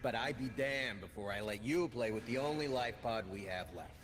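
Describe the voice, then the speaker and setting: A man playing a gruff military armorer in a video game cutscene, blunt and folksy with a wry edge. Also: medium pitch, expressive, moderate pace, lightly acted. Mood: grudging. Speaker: game voice actor. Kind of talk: dramatic game cutscene dialogue. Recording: long reverb under prominent music.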